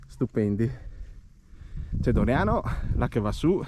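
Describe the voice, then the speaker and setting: A man's voice: mid-range voice, sing-song, slow pace. Man guiding a hike, noisy outdoor recording. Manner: playful, encouraging. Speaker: hiking vlogger filming and guiding a walk. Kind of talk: casual trail commentary to viewers and companions. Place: outdoors on a mountain trail.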